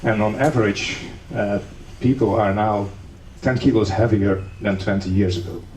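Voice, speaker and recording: Low, mellow-voiced Dutch-born lecturer. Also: male voice, moderate pace. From Netherlands. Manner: explanatory, dry humor, steady lecturing with fillers. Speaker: professor giving a conference lecture. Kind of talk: academic lecture. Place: conference hall. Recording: echoey hall, distant mic, faint noise.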